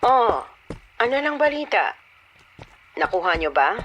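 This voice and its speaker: A woman's voice: mid-range voice, big pitch swings, a little slow, a bit bright, a little hiss or hum. A woman playing an imperious, taunting villainess in a theatrical horror audio drama. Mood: gloating, commanding. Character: scheming female ransom mastermind, and a mocking, fearless old grandmother.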